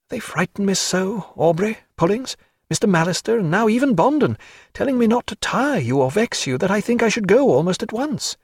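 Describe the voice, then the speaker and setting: A man's voice: high-pitched, breathy edge, no room sound, clean studio sound, pitched above the performer's own voice, heavily acted. A man doing a chattering, fond society lady. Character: elegant lively woman, fond and teasing. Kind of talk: audiobook character voice.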